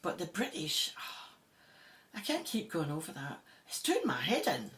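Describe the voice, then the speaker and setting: A woman's voice: low voice, wildly swooping pitch, moderate pace, fairly bright tone, heavily acted. A woman playing a spirited, wry, plain-spoken Egyptologist in an audio drama. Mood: weary, wry.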